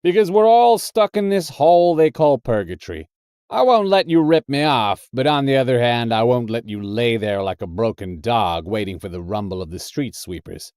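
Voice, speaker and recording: A big, tough American drifter tells a dark short story , acted out by a male reader. The delivery is dramatic and deliberate, with a colourful hard-boiled streak. The pitch is middling and the sound is dry, with little room around it. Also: animated, clean tone, no room sound, natural voice. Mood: firm, gruff. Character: scarlet-bearded thickset tough purgatory drifter, male narrator. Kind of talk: dramatic first-person short story narration.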